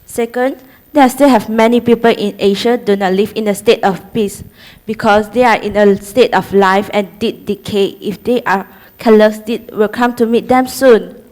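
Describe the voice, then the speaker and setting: A woman's voice: medium pitch, fairly even intonation, normal speed, a little mellow. A young woman from Malaysia giving a prepared student presentation, listing points steadily one by one. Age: young adult.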